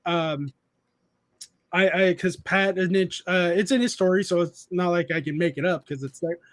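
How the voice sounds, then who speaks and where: Guy in his 30s rambling on his stream, kinda high voice, reads chat out loud. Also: fairly even intonation, moderate pace. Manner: rambling, restarts often, reads chat aloud. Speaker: streamer host. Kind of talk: casual live-stream chat.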